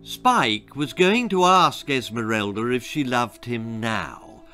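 A man's voice: mid-range voice, lively intonation, slow, bright, forceful tone, faint music behind. A British man in his sixties reading a children's story aloud in a dramatic storytelling style. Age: 60s. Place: small book-lined room indoors.

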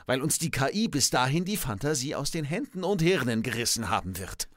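Comic podcast role: pedantic, contrarian old-school professor, played by a man, precise and declamatory, lively pitch swings, dry close studio sound. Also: fairly quick, no room sound. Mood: dry, musing. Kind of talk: scripted comic dialogue. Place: radio studio. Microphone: studio microphone.

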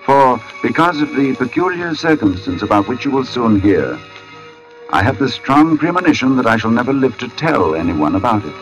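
An actor playing a brooding, haunted middle-aged artist in an old-time radio suspense drama, narrating in formal, deliberate phrases with dramatic pauses. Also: male voice, mid-range voice, big pitch swings, over loud music, rough recording. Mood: somber, foreboding. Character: brooding forty-year-old male artist, haunted, precise, foreboding. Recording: vintage radio broadcast recording.

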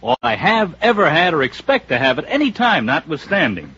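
An American actor playing a fawning, wisecracking comic flunky in a 1950s radio drama, raspy and mock-grandiose. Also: male voice, a little high, very animated, brisk, bright, forceful tone, heavily acted. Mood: mock-devoted. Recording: dull vintage radio recording.

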